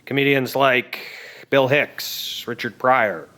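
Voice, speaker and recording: Full-of-himself comedy class teacher, lazy and offhand, sitcom podcast, mid pitch. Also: male voice, takes it slowly, pushed and bright. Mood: smug. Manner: smug, offhand. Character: lazy, self-important male stand-up comedy teacher.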